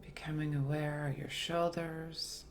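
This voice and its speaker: A woman leading a guided meditation in a soothing, gentle, unhurried manner with long pauses. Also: sits low, level tone. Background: soft background music.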